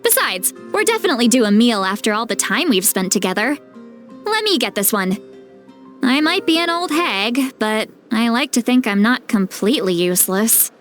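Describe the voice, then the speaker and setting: An actress playing an ancient but youthful-sounding granny in a video game, tipsy, casual and self-deprecating, with a teasing drawl. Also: female voice, a little high, sing-song, moderate pace, fairly bright tone. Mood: breezy, generous. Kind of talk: video game character acting. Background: game music.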